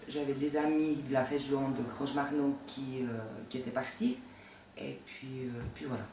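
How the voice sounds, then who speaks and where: A man's voice: medium pitch, fairly even intonation, normal speed, darkish tone. A man answering informally in a testimonial interview. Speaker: nurse, volunteer. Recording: roomy, distant mic, faint noise.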